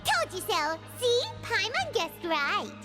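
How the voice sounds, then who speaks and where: A woman voicing a small childlike fairy companion in a video game, squeaky, excitable and blunt. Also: takes it slowly, full character voice. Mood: excited, smug. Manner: refers to self in third person. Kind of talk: video game character dialogue. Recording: music behind the voice. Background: background music.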